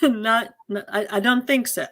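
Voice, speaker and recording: A woman's voice: on the high side, normal speed, fairly bright tone. A woman informally hosting an online event over a video call, speaking in an effusive, thankful manner. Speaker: host and director.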